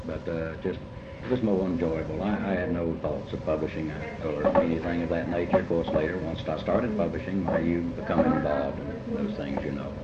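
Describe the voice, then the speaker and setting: A middle-aged or older man with an Appalachian American accent talks reflectively as an interviewed writer, halting and deliberate, often repeating phrases. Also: a bass voice, fairly even intonation. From Ohio River and Big Sandy region of Appalachia, USA. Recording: muffled, echoey, noisy old interview tape.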